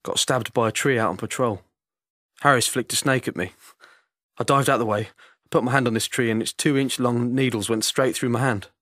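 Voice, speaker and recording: A man playing an awkward soldier far from home speaking to his wife, halting, self-deprecating and plain-spoken, in a naturalistic monologue. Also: medium pitch, fairly bright tone, no echo, clean studio sound, natural voice. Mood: awkward, wry. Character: homesick soldier husband awkwardly recording a message to his wife.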